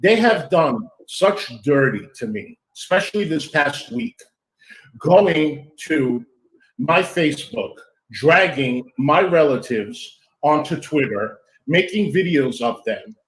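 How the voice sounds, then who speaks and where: A woman on an online panel who talks loudly and passionately. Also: low voice, animated, slow pace. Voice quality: loud, boisterous. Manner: passionate and emphatic. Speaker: panel guest. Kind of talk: heated livestream panel chat. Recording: echoey room sound.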